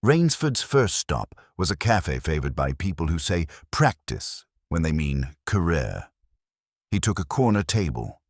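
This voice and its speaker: A man's voice: sits low, dry recording, clean studio sound. A man reading a first-person detective story as a scripted narration, with a wry, hardboiled delivery. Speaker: audiobook narrator.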